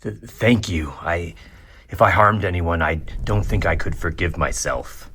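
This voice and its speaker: An actor playing a grave, brooding billionaire vigilante in a comic dub, solemn and halting. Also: male voice, low-pitched, pushed and bright, a little hiss or hum, exaggerated voice. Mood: remorseful, solemn. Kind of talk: dramatic comic dub acting.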